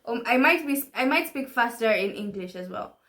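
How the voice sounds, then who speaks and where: Young woman, kinda high voice, laid back, lots of i feel like and i think. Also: moderate pace. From Tanzania. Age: young adult. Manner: relaxed, thinks aloud with hedges. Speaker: co-host. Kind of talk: casual chat reacting to a video.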